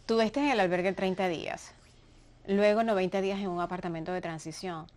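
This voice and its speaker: A woman's voice: mid-range voice, fairly even intonation, fairly quick, a little mellow. A woman hosting an interview, who encourages her guest and sums up what she has said. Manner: encouraging, recaps the guest's words. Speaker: podcast host.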